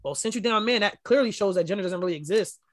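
A woman with a deep voice, co-hosting a podcast and talking candidly in casual chat, with animated storytelling. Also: fast talker. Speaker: podcast co-host.

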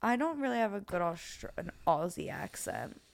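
A young woman co-hosting a podcast, telling animated stories and swearing freely in casual chat. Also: fairly low pitch, normal speed, vocal fry, no echo. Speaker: podcast co-host.

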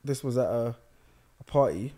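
A man's voice: medium pitch, moderate pace, dark tone. Hesitant young man. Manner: hesitant, lots of ums. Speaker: podcast co-host. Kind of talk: casual chat.

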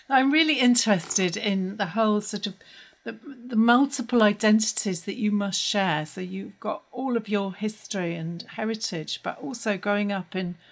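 A woman's voice: medium pitch, gravelly. A woman hosting a podcast interview, asking curious questions. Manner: curious, asks short questions. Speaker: host.

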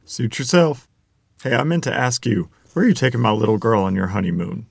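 Man acting gravelly, tipsy country father. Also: mid-range voice, very animated, fairly quick, darkish tone, no room sound, clean studio sound. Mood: tipsy, needling. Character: tipsy, blunt middle-aged country father of the bride. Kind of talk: screenplay table read acting.

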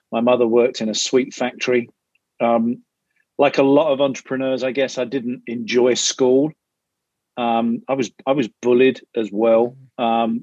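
A man's voice: mid-range voice, a little mellow, no room sound. A man with a London accent speaks as a podcast guest, hesitant and full of restarts as he tells his story. His voice sits mid-range and comes through a dry, dull line. He sounds like he is calling in remotely. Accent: London English. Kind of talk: casual interview.

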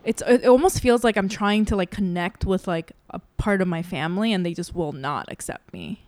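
A woman's voice: mid-range voice, moderate pace, darkish tone. A woman chatting casually in a frank way, swearing and laughing often. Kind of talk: casual chat.

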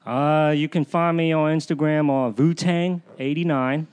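Male podcast guest, rambling, halting delivery. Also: on the high side, steady tone, a little mellow, clean tone. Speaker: comedy producer, podcast guest. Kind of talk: casual podcast chat.

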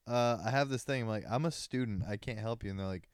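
A young man co-hosting a casual podcast, with a smooth, resonant voice recorded dry and close. He jokes crudely, laughs often and tells anecdotes at an easy chat pace. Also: mid-range voice, fast, dry recording. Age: 20s. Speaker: podcast co-host.

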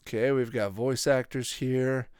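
Male podcast host, thirties, mid-pitched. Informal, loose, repetitive solo commentary, recorded dry with no room sound. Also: fairly even intonation, unhurried, darkish tone, clean tone, no room sound. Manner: rambling, repetitive, informal with swearing. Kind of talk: casual solo commentary on retro cartoons.

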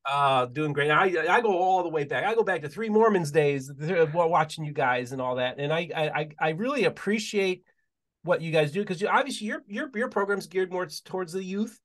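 Fast-talking, stammering podcast host. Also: male voice, a little high, expressive, a bit bright. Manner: fast, stammering, many restarts. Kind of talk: casual interview chat.

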